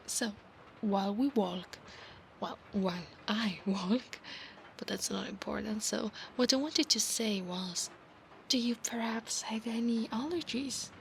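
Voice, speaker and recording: Casting: actress as possessive, doting female centaur knight; Italian accent, unhurried whispery ASMR delivery, touch of creak. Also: medium pitch, a little hiss or hum, low-quality recording. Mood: chatty, teasing. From Italy. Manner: breathy, often slipping into whispers. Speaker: ASMR roleplay performer. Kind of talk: ASMR roleplay, soft-spoken with whispers.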